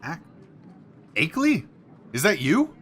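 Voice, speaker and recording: An actor playing an earnest, astonished professor in a radio play, full of questions. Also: male voice, on the high side, wildly swooping pitch, a little slow, a bit bright, breathy edge, heavily acted. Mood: urgent, worried. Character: earnest, astonished male professor investigating the uncanny.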